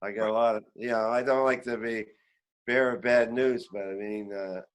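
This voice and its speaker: A man's voice: lowish voice, moderate pace, clean tone. Older Canadian man reminiscing casually. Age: 70s. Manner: rambling, animated storytelling. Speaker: veteran musician, interview guest. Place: remote online call.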